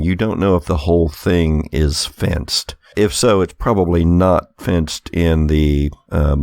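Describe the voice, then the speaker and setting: A low, creaky male voice in a very dry recording. He runs a tabletop game, improvising descriptions in an explanatory, conversational manner. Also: darkish tone, no echo. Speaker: game master.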